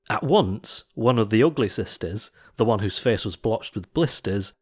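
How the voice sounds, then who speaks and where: A man narrating an audiobook of rhyming verse in a theatrical, expressive storytelling style. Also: lowish voice.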